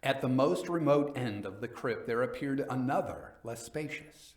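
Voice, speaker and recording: A man reading literary fiction as a dramatic storyteller, in a measured, theatrical manner. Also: mid-range voice, lively intonation, echoey room.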